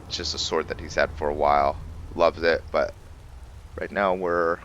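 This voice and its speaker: A man with a very low voice hosts a roleplay game playthrough. He comments casually and thinks aloud as he goes. Game ambience and noise sit clearly behind him. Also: fairly even intonation, moderate pace, fairly bright tone, lo-fi sound. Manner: talks to himself, thinking aloud. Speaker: gamer hosting a roleplay playthrough.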